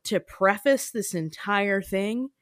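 A woman's voice: medium pitch, takes it slowly, dry recording. A chatty woman co-hosting a podcast, laughing often as she talks. She has a mid-range voice and loose filler-filled phrasing. The sound is dry and close. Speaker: podcast co-host. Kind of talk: casual chat.

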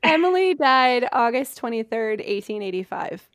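A woman's voice: a little high, big pitch swings, normal speed, fairly bright tone, clean tone, no room sound. Woman casually telling history, dry close sound. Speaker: podcast host presenting history research. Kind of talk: casual storytelling from notes among friends.

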